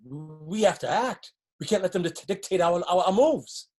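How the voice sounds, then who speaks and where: A man acts out a defiant, hopeful escapee in a dystopian stage drama. The voice is husky and a little rough. The words come out earnest and emphatic, as dramatic dialogue. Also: high-pitched, lively intonation, moderate pace, darkish tone. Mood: resolute. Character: defiant, hopeful partner plotting escape from underground.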